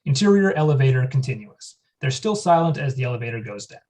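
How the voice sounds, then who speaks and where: Brief: male reader for stage directions, mid-pitched, matter-of-fact. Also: very animated, quick pace, darkish tone. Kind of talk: flat reading of scene directions.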